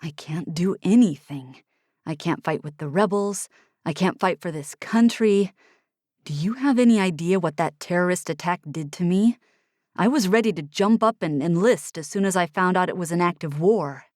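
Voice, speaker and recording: A woman voicing a young male soldier with a low, subdued voice, frustrated and self-blaming in an audiobook. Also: lively intonation, normal speed, a little mellow, no echo, studio quality, natural voice. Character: young male soldier, guilt-ridden and restless.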